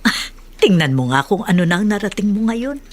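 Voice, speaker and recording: A woman with a Filipino accent playing a concerned, motherly older woman in a radio drama, gently chiding. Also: medium pitch, brisk, slight background noise, close to an everyday voice. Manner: questioning, gently chiding, expressive.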